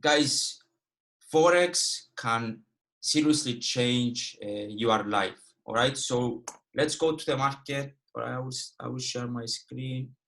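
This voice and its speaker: A man's voice: medium pitch, slow pace, fairly bright tone. A man in his twenties with a Greek Cypriot accent, a native Greek speaker, teaching a live online trading lesson in a casual, direct way and checking in with "okay" and "all right". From Cyprus. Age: 20s. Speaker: guest trading educator.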